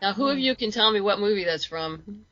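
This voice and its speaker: A woman's voice: mid-range voice, animated, a little fast, pushed and bright, dry recording, dull audio. A woman chatting casually and informally as a guest on a podcast. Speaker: interview guest, comic and audio drama creator.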